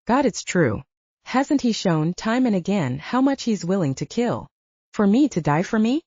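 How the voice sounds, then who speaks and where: Narrator as a desperate, husky-voiced pleading heroine. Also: female voice, medium pitch, very animated, normal speed, a little mellow, dry recording, highs cut off. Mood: resolved pleading. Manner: pleading, emotional. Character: desperate, devoted young woman pleading for loved ones. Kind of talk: audiobook character dialogue.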